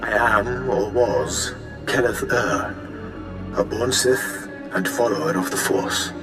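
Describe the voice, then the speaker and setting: An actor playing a serene ancient Sith sage speaking as a hologram in a video game, measured and solemn, with an echoing effect. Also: male voice, fairly low pitch. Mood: calm, formal. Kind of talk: video game cutscene acting. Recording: echoing voice over game music.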